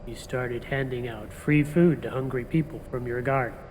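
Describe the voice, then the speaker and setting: A man's voice: medium pitch, fairly even intonation, moderate pace, darkish tone, long reverb tail, slight background noise, natural voice, low-quality recording. An actor playing a dry, sardonic male police detective in an audio drama, delivering his lines in a wry tone. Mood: explaining.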